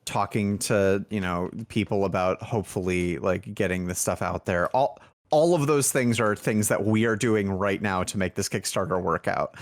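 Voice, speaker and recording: A man's voice: on the low side, moderate pace, few pauses. A man chatting casually as an interview guest, thinking aloud in a rambling way. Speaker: indie game developer, podcast guest.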